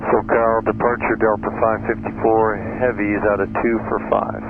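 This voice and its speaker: A man's voice: on the low side, little pitch movement, normal speed. A man working as an airline pilot, giving clipped, procedural readbacks over an aviation radio. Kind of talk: air traffic radio readbacks. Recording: tinny radio link, loud engine noise. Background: loud aircraft noise.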